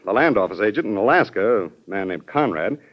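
Quick-talking, deferential cabinet official. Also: male voice, on the low side, lively intonation. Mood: careful, explanatory. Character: deferential, measured male cabinet secretary, experienced official. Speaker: actor. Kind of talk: classic American radio drama acting. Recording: dull old radio recording.

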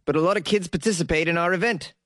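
Guy reading news articles n riffing sarcastically, kinda high voice, super dry close mic. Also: fast, fairly bright tone, dry recording. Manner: sarcastic, rambling, jokey asides to viewers. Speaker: solo news commentary host.

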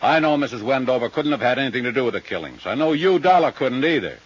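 An actor playing a tough, suspicious, impatient police lieutenant in 1950s radio drama, curt and slangy. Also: male voice, medium pitch, animated, fast talker, breathy edge. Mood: gruff, weary. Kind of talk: 1950s hard-boiled radio acting. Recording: dull old radio recording.

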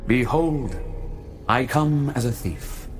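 A man playing a solemn divine Lord giving warning, declaiming deliberately in a dramatized scripture audiobook. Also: mid-range voice, faint music behind, close to an everyday voice.

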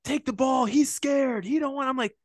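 A man hosting a podcast in a casual interview chat, with a big booming voice and a loud, enthusiastic way of talking. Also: normal speed, dry recording. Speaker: podcast host.

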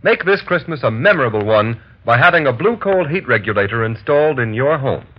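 A man's voice: mid-range voice, very animated, a little fast, breathy edge. A male announcer reading a script in emphatic, formal 1930s radio style. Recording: muffled old radio broadcast.

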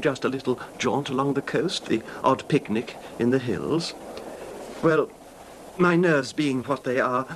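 An actor playing a fragile, nervous, genteel husband with a hesitant, mannered delivery in a radio drama. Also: male voice, medium pitch, lightly acted. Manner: hesitant, stammering, mannered, formal. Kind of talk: old time radio drama acting. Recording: noisy old radio drama recording.

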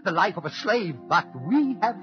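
A man's voice: low-pitched, a little slow. An actor in a 1940s radio drama playing a defiant immigrant American man addressing a dictator, declamatory and impassioned. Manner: impassioned, declamatory, building to shouts. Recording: lo-fi 1940s radio broadcast with orchestral music.

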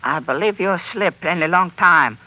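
A man playing a helpful Chinese trader in a vintage radio adventure, with a put-on Chinese accent and halting, deferential pidgin. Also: sits high. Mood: solicitous. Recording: dull old radio broadcast.

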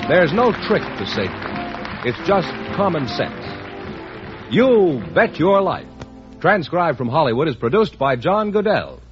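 Man, radio announcer, smooth polished delivery, lively pitch, lo-fi old broadcast with music under it. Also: unhurried. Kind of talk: 1950s radio announcing and sponsor reads. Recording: lo-fi archival radio recording with music.

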